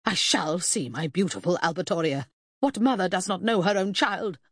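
Casting: haughty, grieving middle-aged mother, played by a female narrator, indignant and commanding, husky voice that climbs high, close dry sound. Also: normal speed, dry recording. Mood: icy, indignant. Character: grief-stricken, imperious, furious middle-aged mother. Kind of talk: audiobook character acting.

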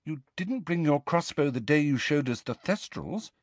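A man's voice: high-pitched, huge pitch swings, normal speed, breathy edge, dry recording, pitched above the performer's own voice, exaggerated voice. A man voicing a timid, curious, earnest teenage girl with a precise, questioning manner in an audiobook.

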